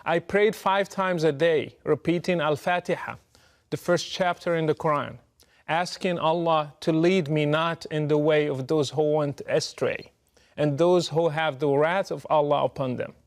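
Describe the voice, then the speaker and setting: Man, Moroccan Arabic accent, slightly high voice, prepared political speech, earnest, emphatic, measured rhetorical delivery. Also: fairly even intonation. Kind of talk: prepared political address to camera.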